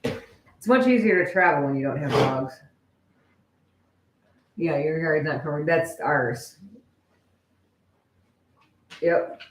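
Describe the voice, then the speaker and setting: A woman's voice: low voice, takes it slowly, long pauses, slight background noise. A woman chatting casually and informally with viewers as co-host of a live stream. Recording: echoey room.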